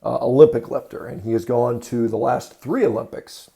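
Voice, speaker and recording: A man giving unscripted critical commentary as a host, matter-of-fact and halting, often correcting himself. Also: mid-range voice, creaky, warm tone. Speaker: host of a diet commentary video.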